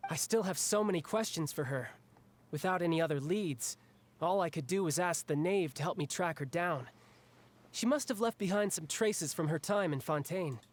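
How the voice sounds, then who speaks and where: An actor playing a cocky, restless young warrior in a game, breezy and self-assured. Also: male voice, fairly high pitch, normal speed, close to an everyday voice. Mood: casual. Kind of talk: game voice acting.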